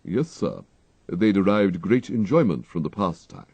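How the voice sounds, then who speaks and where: Audiobook role: male reader as a discreetly sly English valet, formal, unflappable, low and slightly husky. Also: no room sound, heavily acted. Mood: dryly polite. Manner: formal, measured, deadpan.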